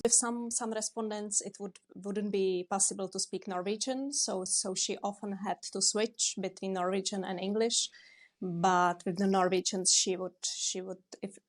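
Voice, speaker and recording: A young woman director answering interview questions, pausing often to search for words. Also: mid-range voice. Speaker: documentary director.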